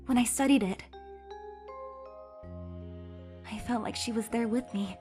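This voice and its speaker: Woman doing upbeat young lady lawyer, bit high voice, earnest and lively. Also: quick pace, faint music behind, close to an everyday voice. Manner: energetic, bursts into emotional pleas. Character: earnest, cheerful young female defense lawyer. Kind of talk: fan dub voice acting.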